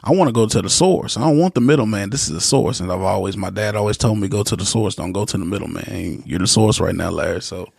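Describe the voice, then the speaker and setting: A man's voice: on the low side, fast, vocal fry, few pauses, a little mellow, breathy edge, no echo, studio quality. A young man as a podcast guest, talking in a casual, easygoing way with lots of fillers and restarts. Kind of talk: casual interview talk.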